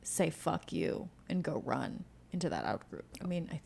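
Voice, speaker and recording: A woman with a slightly low voice and a strong creak speaks as a podcast co-host. She is blunt and opinionated, landing her points in short declarative phrases. The tone stays casual and conversational. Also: sing-song, normal speed, a little mellow. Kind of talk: casual conversational political chat.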